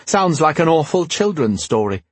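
Man voicing a high-pitched teasing young queen. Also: very animated, moderate pace, slightly rough, no echo. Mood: playful, teasing. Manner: playful, teasing, regal when commanding. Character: young warrior queen, teasing, stubborn, proud, tender.

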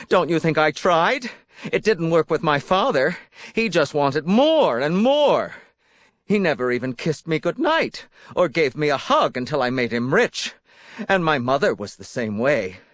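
A man's voice: a little high, fairly bright tone, no echo, pitched above the performer's own voice. A man voicing a hateful, self-pitying young man, a jilted obsessive lover in a story, bitter and accusing. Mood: desperate self-pity. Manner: bitter, accusing, pleading, worked up.

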